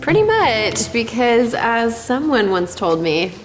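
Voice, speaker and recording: A woman acting a self-assured, quietly triumphant bakery owner in a scripted morality drama. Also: fairly high pitch, very animated, slow, faint music behind. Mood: grateful, proud.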